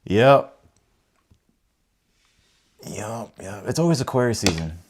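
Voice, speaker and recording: A man's voice: lowish voice, big pitch swings, takes it slowly. An American man hosting a tarot livestream, chatting informally and rambling, often repeating his phrases. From United States, grew up in Ohio and Texas. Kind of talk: casual livestream chat and card reading.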